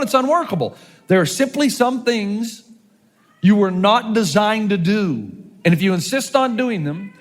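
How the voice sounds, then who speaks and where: A man in his fifties, a pastor, preaching a sermon with animated, joking delivery and rhetorical questions. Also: very high-pitched, long reverb tail. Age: 50s.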